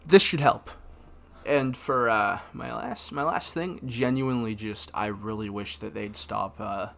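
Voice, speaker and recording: A man's voice: on the low side, a little slow, gravelly, bright, forceful tone. A young man of about nineteen from Canada, talking casually to camera in a rambling way full of fillers and restarts. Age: young adult, about 19. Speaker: vlogger.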